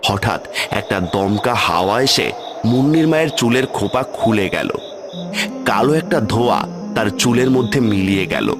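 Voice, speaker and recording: A man's voice: fairly low pitch, lively intonation, normal speed. A man narrating a scripted horror story as its storyteller. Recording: music bed under the voice. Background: music.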